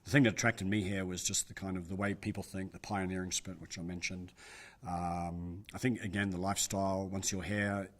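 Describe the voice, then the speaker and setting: A middle-aged man gives interview answers in a deep, low-pitched voice. He talks quickly and thinks aloud, hedging his points as he goes. He sounds like a business advisor weighing his words. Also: flat delivery. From New Zealand. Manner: thoughtful, hedging with frequent "I think" and "you know".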